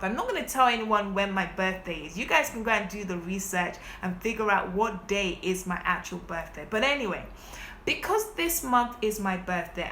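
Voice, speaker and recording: Woman life coach, forty, bright voice, chatty and persuasive, live stream in a roomy space. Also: mid-range voice, a little hiss or hum. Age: 40s. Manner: direct, persuasive, chatty with viewers. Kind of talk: live-stream masterclass pitch. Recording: echoey room.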